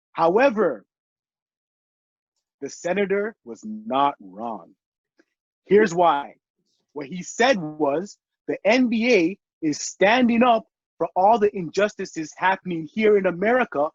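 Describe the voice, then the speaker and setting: This is a man with a fairly high, husky voice who talks in opinionated, halting bursts. His audio is dull and lo-fi, with a very dry sound. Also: dry recording. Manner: opinionated, halting with many restarts. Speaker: podcast guest. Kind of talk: casual chat.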